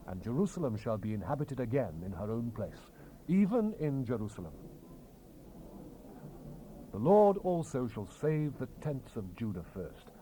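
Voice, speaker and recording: A man reads scripture as solemn prophetic oratory, his pitch rising and falling widely for dramatic weight. His voice sits at a medium male pitch. The sound is a hissy seventies cassette transfer with faint ambience behind him. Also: a little mellow, poor audio. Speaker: scripture narrator. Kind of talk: dramatized King James Bible reading.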